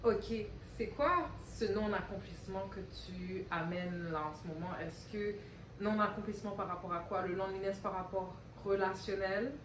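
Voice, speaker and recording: Québécoise qui questionne, loin du micro. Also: female voice, mid-range voice, fairly even intonation, normal speed, a little hiss or hum. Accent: Québécois French. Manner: probing, asks direct questions, mixes English into French. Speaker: co-host. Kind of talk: casual chat. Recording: echoey room, voice a bit far from mic.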